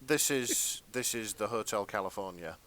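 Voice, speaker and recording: A British man co-hosting a podcast in casual comedic chat, with a deadpan delivery. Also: fairly low pitch, normal speed. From Britain. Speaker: podcast co-host.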